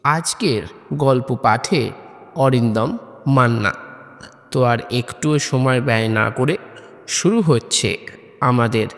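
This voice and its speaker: A man's voice: medium pitch, unhurried, pauses often. A man presents a scripted introduction to a horror audio story. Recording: long hall-like reverb.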